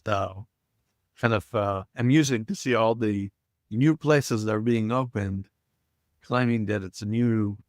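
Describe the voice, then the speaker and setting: A man's voice: on the low side, measured pace, a little mellow, dry recording, studio quality. A middle-aged man from Israel, talking as a podcast guest with frequent hesitations and filler sounds. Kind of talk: casual interview talk.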